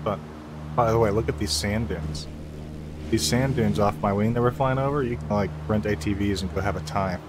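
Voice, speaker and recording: A man's voice: lowish voice, moderate pace. An American man giving casual, easygoing tutorial commentary on a flight simulator, thinking aloud and making pilot radio calls. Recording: steady hum and engine drone. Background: flight simulator engine hum.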